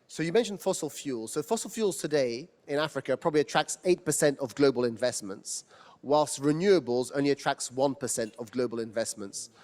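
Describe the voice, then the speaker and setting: A man from Tunisia who speaks with a British accent, moderating a question session after a lecture. He talks conversationally and hedges a lot, circling with fillers before landing on his question. His voice sits at an ordinary male pitch. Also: fairly quick, a little mellow. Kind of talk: moderating a panel Q&A.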